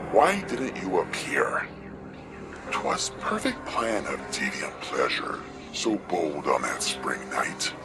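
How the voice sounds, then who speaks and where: A man playing a lovesick poet, reciting overwrought verse in a breathy, melodramatic, drawn-out way. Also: low-pitched, full character voice. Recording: music laid loudly behind voice. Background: loud music.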